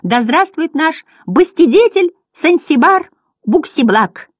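Storybook clown moth: high, loud, boisterous, mocking chatter with a big swinging pitch. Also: female voice, wildly swooping pitch, a little slow, dry recording, clean studio sound, exaggerated voice. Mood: giddy jubilant. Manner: shouting, exclamatory, teasing chatter. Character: boisterous, mischievous clown moths, giddy and mocking. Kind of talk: storybook character voices.

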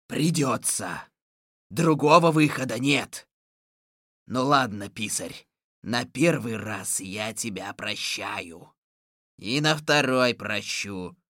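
A woman voicing a stern, menacing deathless sorcerer king in a storybook reading, with slow threatening commands. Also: very deep voice, lively intonation, fairly bright tone, no room sound, clean studio sound, pitched below the performer's own voice, exaggerated voice.

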